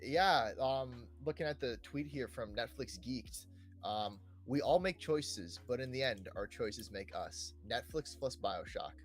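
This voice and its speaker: A man's voice: medium pitch, expressive, a little slow. A young adult man co-hosting a casual gaming podcast, talking hesitantly, repeating words and often checking for agreement. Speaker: podcast co-host. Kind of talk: casual chat about video games.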